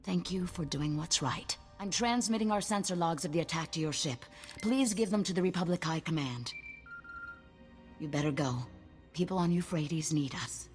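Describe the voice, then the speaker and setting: Earnest, lowish starship officer, played by a woman. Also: normal speed, faint music behind, lightly acted. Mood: earnest, urgent. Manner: urgent, principled. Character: earnest, dutiful female alien starship officer. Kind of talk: dramatic video game acting.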